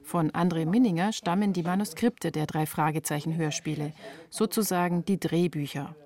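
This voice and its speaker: A woman's voice: on the low side, normal speed, darkish tone. A woman reporter reading scripted narration for a radio feature.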